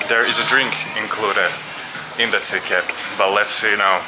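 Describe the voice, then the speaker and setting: A man's voice: lowish voice, steady tone, moderate pace, loud music behind the voice, rough recording. A man with a Finnish accent chatting casually and bluntly as a vlogger, swearing freely in an informal way. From Finland. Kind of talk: casual chat to camera. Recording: clear background noise, traffic and ambience.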